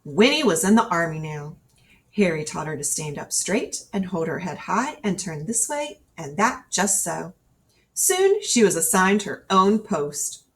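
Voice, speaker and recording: A woman with a slightly low voice reads a children's story aloud. Her delivery is scripted storybook narration, expressive and ready to act out the dialogue. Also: measured pace. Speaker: storybook reader.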